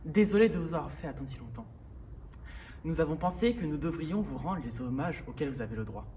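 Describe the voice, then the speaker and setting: A man's voice: on the high side, lively intonation, brisk, mellow. Man's formal stage speech, distant mic. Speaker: drama student. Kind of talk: formal theatrical welcome speech. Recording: distant mic, background noise.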